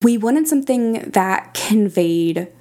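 A woman's voice: medium pitch, expressive, slow, dark tone. A woman speaking as an interview guest, explaining things in an articulate, conversational way. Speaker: podcast critic and audio drama creator, guest.

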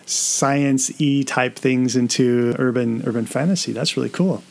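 A man's voice: medium pitch, a little slow, creaky, darkish tone. A man hosting a podcast, interviewing a guest in a casual, conversational style.

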